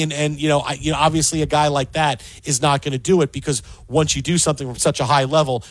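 A man co-hosting a casual sports talk show, animated and often repeating words as he gets a thought going. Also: fairly high pitch, no room sound. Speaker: sports podcast co-host.

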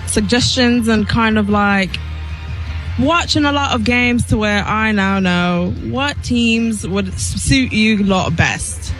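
A woman's voice: mid-range voice, takes it slowly, soft background music, natural voice, low-quality recording. A woman on a sports radio show putting on a playful fake British accent and giggling at herself. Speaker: show staffer.

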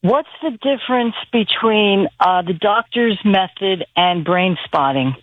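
A woman calling in to a radio show by phone, speaking haltingly as she searches for words. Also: medium pitch, lively intonation, no room sound. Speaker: caller. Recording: muffled, narrow phone line on radio.

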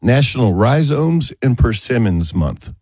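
A man's voice: mid-range voice, big pitch swings, measured pace, darkish tone, husky, dry recording, studio quality. A man hosting a morning gardening radio show, talking with a cold in a wry, joking, rambling way. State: has a cold. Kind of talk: casual morning radio chat.

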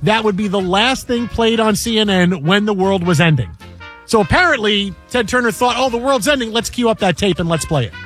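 Male sports radio host, high-pitched, joking quiz-master, light music bed. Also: expressive, fairly quick, fairly bright tone. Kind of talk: casual radio chat.

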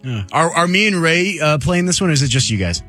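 A man with a higher-pitched voice, playfully announcing picks on a sports radio show. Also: very animated, quick pace, bright, forceful tone, faint music behind. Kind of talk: casual sports radio banter.

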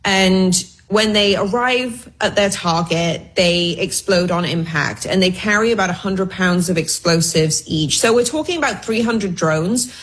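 A woman's voice: medium pitch, a little slow, hardly any pauses, a bit bright, slightly rough. A woman speaking as a guest researcher and commentator, explaining news informally with wry, hedging asides. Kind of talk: conversational news analysis.

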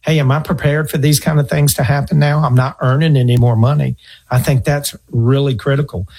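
A middle-aged man with a gravelly, husky voice speaks as a financial advisor giving advice. He talks earnestly, tells stories, and circles back to repeat his points. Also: mid-range voice, fairly even intonation, brisk, darkish tone. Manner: earnest, storytelling, repeats himself. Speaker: financial advisor radio host. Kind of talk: conversational advice talk.

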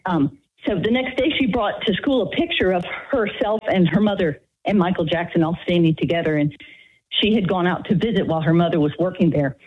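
A woman's voice: on the low side, fairly quick, breathy edge. Nervous woman caller on a phone line. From Texas, United States. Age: 50s. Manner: nervous, hesitant, many fillers. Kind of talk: radio call-in chat.

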